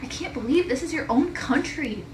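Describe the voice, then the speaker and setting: A woman voicing an eager, excitable young schoolboy in a storybook read-aloud. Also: high-pitched, moderate pace, echoey room, background noise, voice pushed up. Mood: excited, awed.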